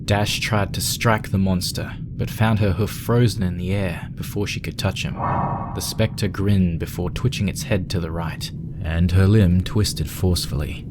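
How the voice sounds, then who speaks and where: Low-voiced man narrating horror. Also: fairly even intonation, a little slow, very noisy. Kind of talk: dramatic scripted horror story narration.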